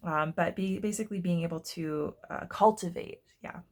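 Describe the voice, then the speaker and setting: A woman giving a casual tarot reading to her viewers, congested and hoarse, chatty and often stopping to search for words. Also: fairly low pitch, moderate pace, darkish tone. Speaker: tarot reader.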